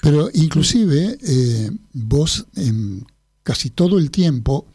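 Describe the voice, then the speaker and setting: Argentine male radio host, Rioplatense accent, husky mellow voice, dry studio sound, unhurried meandering questions. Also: fairly high pitch, very animated, dry recording. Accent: Rioplatense Spanish. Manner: measured, long meandering questions. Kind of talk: literary radio interview.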